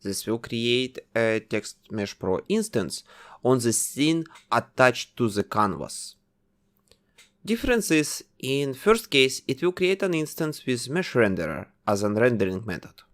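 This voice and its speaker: Male tutorial narrator, methodical and instructive, with a lively, varied pitch. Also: measured pace. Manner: methodical, instructive, explains each step. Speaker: tutorial host. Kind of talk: step-by-step software tutorial narration.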